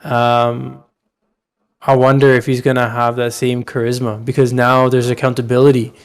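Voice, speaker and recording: A man's voice: medium pitch, monotone, moderate pace, clean tone. A man co-hosting a podcast, talking politics casually and emphatically with plenty of slang. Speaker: podcast co-host.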